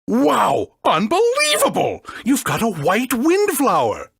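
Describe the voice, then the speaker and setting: Good-natured male cook for a video game: gravelly, exuberant, with extreme swings in pitch. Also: huge pitch swings, takes it slowly, no room sound, clean studio sound, full character voice. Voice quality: gravelly, husky.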